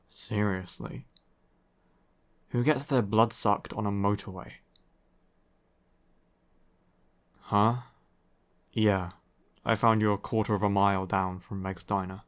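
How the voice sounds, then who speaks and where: A man's voice: fairly low pitch, fairly bright tone, clear, resonant tone. A man playing a grumpy, weary young vampire in an audio roleplay, curt and sardonic with heavy sighs. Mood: sardonic, incredulous. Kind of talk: roleplay audio acting, ASMR.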